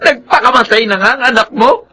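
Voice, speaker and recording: A woman's voice: a little high, brisk, pushed and bright, slightly rough, faint music behind. An actress with a Filipino accent playing a grieving wife and mother, accusing and scolding in anguish in a radio drama. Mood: furious anguish.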